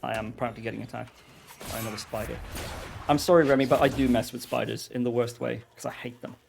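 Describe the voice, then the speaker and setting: A man's voice: medium pitch, fairly even intonation, quick pace, creaky, a little mellow, a little hiss or hum. A man with a Swedish accent, a teacher and game streamer chatting casually, rambling and hesitant with many ums and restarts.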